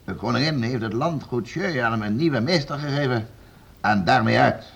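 A man's voice: mid-range voice, moderate pace, creaky, a bit bright, slightly rough. An actor playing a stern, domineering nobleman in a period radio play, curt, impatient and commanding. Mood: resolute. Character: stern, domineering nobleman interrogating servants for the crown. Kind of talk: period radio play acting in formal Dutch.

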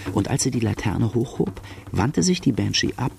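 An actor playing a brooding outlaw who gravely narrates his own life story in a radio drama. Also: medium pitch, big pitch swings, moderate pace, a little mellow, a little hiss or hum. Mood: grave, haunted. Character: brooding young male Irish-Australian outlaw telling his life.

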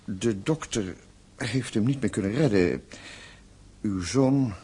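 An actor playing a courteous, solemn French innkeeper in a radio play, polite and hesitant, with a husky voice. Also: male voice, lowish voice, takes it slowly, mellow, heavily acted. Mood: grave, reluctant. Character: courteous, grave French innkeeper breaking bad news.

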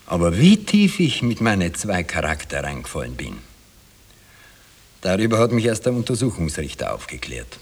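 A man's voice: low voice, wildly swooping pitch, moderate pace, husky, full character voice. An actor playing a wry Viennese man who tells of his youth in ironic, colloquial radio drama narration. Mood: wry reminiscing.

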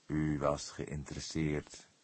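A man voicing an old, wry, courteous police detective in an audiobook, speaking deliberately and with dry modesty. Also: rumbling low, completely flat delivery, no room sound, heavily acted. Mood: probing, courteous. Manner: slow, deliberate, drily modest. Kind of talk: Dutch audiobook character voice.

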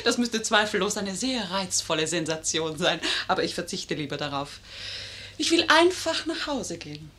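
A woman's voice: on the low side, big pitch swings, normal speed, pushed and bright, full character voice. An actress playing a bold, witty, scheming lady who is teasing and proud, in a 1950s German radio play. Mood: cool, mocking.

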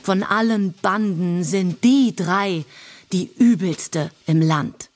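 A woman's voice: fairly low pitch, slow. A woman performing rhymed, mocking verse in a story production.

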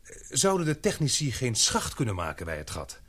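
A man's voice: medium pitch, wildly swooping pitch, fairly quick, a bit bright, slightly rough, full character voice. A man acting in a radio drama, playing an earnest, practical adventurer who explains things eagerly. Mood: explaining. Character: Paul, earnest, practical adventurer, improvising a bold plan.

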